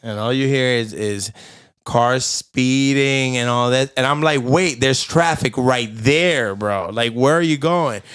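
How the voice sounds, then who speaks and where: Laid-back dude on a podcast, laughs a ton, slangy storytelling. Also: male voice, mid-range voice, a little slow, a bit bright, clear, resonant tone. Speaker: podcast co-host. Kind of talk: casual chat.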